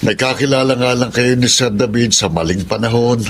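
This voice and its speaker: An actor playing a kindly, moralizing middle-aged confidant in a Filipino drama, gently admonishing, with a Filipino accent. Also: male voice, mid-range voice, fairly quick, a little hiss or hum, natural voice. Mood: concerned, admonishing. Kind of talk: melodramatic radio drama acting.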